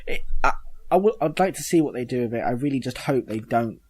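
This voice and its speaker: A man's voice: medium pitch, animated, quick pace, darkish tone, slightly rough. A man chatting casually as a podcast panelist, a bit hesitant and rambling, with a joking manner. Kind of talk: casual group chat.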